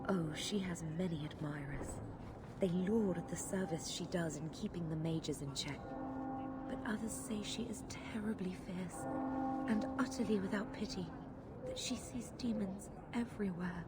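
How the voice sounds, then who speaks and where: A woman's voice: lowish voice, normal speed, over loud music, natural voice. An actress playing a worried, devout townswoman in a video game, speaking in a hesitant, formal, old-fashioned way. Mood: hushed, fearful. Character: anxious devout townswoman pleading for her missing brother. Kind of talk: video game dialogue acting.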